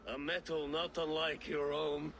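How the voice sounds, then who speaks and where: An actor playing a boastful, jovial Viking drinker in a video game, teasing and theatrical. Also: male voice, fairly high pitch, flat delivery, measured pace, a bit bright. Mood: celebratory. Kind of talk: video game character acting. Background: ambient noise.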